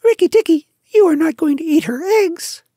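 A woman puts on a giddy little male songbird with a piercing, sing-song squeal. He is loud and shrill, gushing praise. The room is dry and close. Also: lively intonation, brisk, dry recording. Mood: exultant. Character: giddy little male tailorbird, boastful singer.

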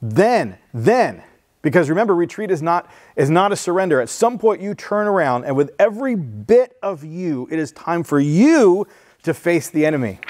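A man's voice: on the high side, very animated, moderate pace, darkish tone. A man in his thirties, a pastor, preaching to his church in an earnest, conversational way, on a headset mic. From San Diego, USA. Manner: earnest, conversational preaching with restarts and rising emphasis. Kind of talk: sermon. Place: church stage with blue lighting.

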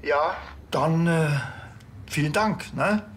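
An actor playing a dry, deadpan, grumbling Bavarian policeman in a TV crime comedy. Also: on the high side, slow pace, slight background noise. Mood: curt.

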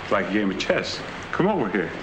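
An actor playing a middle-aged, wealthy American husband, genial with a sly menace, in 1940s film noir style. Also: male voice, lowish voice, big pitch swings, a little fast, background noise. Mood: slyly menacing. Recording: 1940s film soundtrack with background music.

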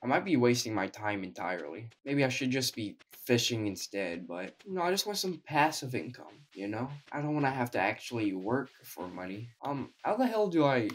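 A man's voice: medium pitch, big pitch swings, moderate pace, few pauses. A young man who is sick and sounds weak, giving wry, self-mocking commentary over his gameplay. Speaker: gaming YouTuber.

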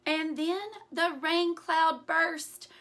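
A woman in her forties reads a picture book aloud as a teacher would, in an animated, deliberate way with hushed asides. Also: very high-pitched. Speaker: teacher reading aloud to children. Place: small furnished room indoors.

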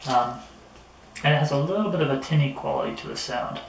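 A middle-aged man giving a casual, unhurried gear review to camera, speaking in a mild, somewhat hesitant way. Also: medium pitch, creaky. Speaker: product reviewer. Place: small indoor room at a table. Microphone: camera a few metres away. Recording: roomy, distant camera mic with noticeable noise.

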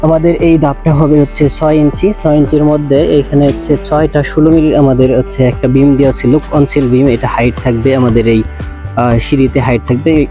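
A man's voice: mid-range voice, level tone, fairly quick, mellow. A man with a Bangladeshi accent gives an informal on-site instructional explanation as an engineer, repeating himself and hesitating, with frequent filler phrases. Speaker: civil engineer presenting a how-to video. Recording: music running behind the voice.

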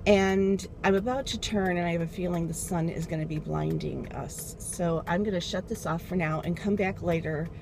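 A middle-aged woman talks to the camera from behind the wheel, rambling and circling back to what she meant to say. Her voice sits fairly low for a woman. Steady car and road noise runs under everything. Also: moderate pace, vocal fry, hardly any pauses. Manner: rambling, chatty, loses her train of thought. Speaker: beauty vlogger. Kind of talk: casual chat. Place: inside a car while driving. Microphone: dashboard camera.

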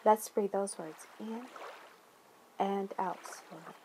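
A woman leading a guided meditation, speaking gently in deliberate phrases with long pauses and soothing affirmations. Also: medium pitch, slight background noise.

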